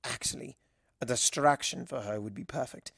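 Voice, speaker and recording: A man's voice: mid-range voice, normal speed, a bit bright, dry recording, studio quality. A man voicing an eccentric, dramatic male pony doctor in a storybook reading, with an earnest theatrical manner. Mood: awkward, subdued. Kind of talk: storybook character dialogue.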